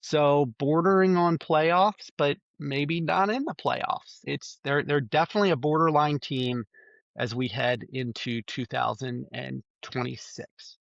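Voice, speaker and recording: A man's voice: fairly high pitch, measured pace, creaky, no echo. Man, stuffy with a cold, podcast host, lots of ums and uhs, muffled lo-fi sound. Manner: reels off stats with frequent ums and uhs. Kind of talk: solo sports preview podcast.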